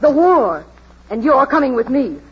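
A cruel, bullying sister, very husky and high, in 1940s radio drama style. The old recording is dull. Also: female voice, very animated, moderate pace, heavily acted. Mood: menacing. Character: cruel, bullying older sister turned fearful.

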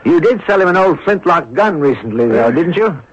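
A man's voice: on the high side, animated, a little fast, slightly rough. An actor playing a helpful, inquisitive male sleuth in a radio drama, speaking in an earnest, probing way. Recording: dull lo-fi 1970s radio broadcast.

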